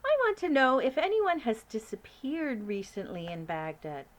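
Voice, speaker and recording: A brisk, commanding queen, put on by a woman reading a storybook, her voice lifted high above the reader's own. She gives orders crisply, with lively swings of pitch. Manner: crisp, imperious.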